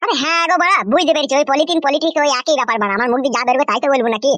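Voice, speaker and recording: A man's voice: extremely high pitch, rapid-fire, a bit bright, no echo. A man voicing a hot-headed, gullible village husband in a comic cartoon, blustering and whining in a rural West Bengal accent. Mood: furious, blustering. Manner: blustering, whining, mispronounces words.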